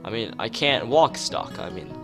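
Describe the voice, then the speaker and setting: A man's voice: mid-range voice, animated, normal speed, creaky. A man voicing a detached teenage girl artist in a visual novel, vague, literal and noncommittal. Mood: listless, vague. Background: guitar music.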